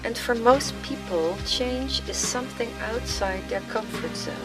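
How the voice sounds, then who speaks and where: A woman's voice: on the high side, expressive, unhurried. A woman voicing a pensive, weary man in a brooding inner monologue for a radio play. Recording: music laid under the voice.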